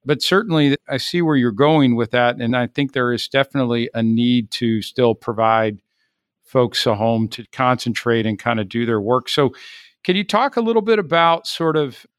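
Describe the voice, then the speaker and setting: An easygoing man co-hosts a podcast, asking long, friendly, rambling questions. His voice sits at a medium pitch and sounds dry and close. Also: normal speed, no room sound. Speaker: podcast co-host. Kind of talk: casual podcast conversation.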